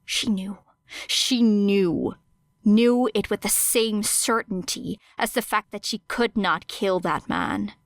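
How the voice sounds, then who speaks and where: A woman reading scripted dramatic fiction as an audiobook narrator, measured and expressive. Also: medium pitch, no echo, clean studio sound.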